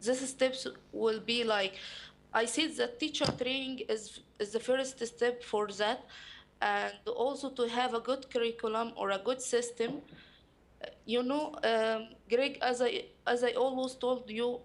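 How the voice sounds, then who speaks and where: A young woman with an Egyptian accent and Arabic as her first language, speaking earnestly in halting English to an audience. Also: a little high, steady tone. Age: young adult. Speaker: panel speaker. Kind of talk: informal talk to an audience.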